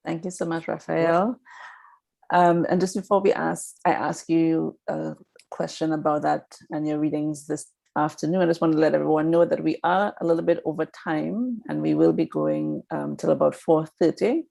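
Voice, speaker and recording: Low, gravelly-voiced woman moderator. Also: normal speed, warm tone. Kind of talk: hosting a panel discussion.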